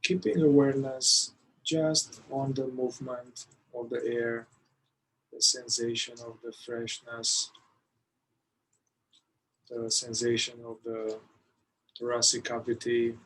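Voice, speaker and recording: A man leading a guided meditation, giving soothing, unhurried instructions with long pauses between phrases. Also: medium pitch, little pitch movement, a little hiss or hum, rough recording.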